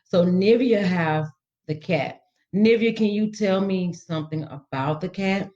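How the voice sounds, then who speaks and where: A woman's voice: fairly low pitch, measured pace, warm tone. A woman educator explaining things informally to parents as a radio guest, instructive and repeating key words. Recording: slightly dull radio audio.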